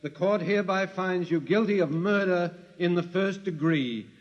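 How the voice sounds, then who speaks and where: An actor playing a judge solemnly reading a verdict, in 1950s radio drama style. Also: male voice, high-pitched, normal speed, lightly acted. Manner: formal, solemn pronouncement. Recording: dull radio recording, courtroom reverb.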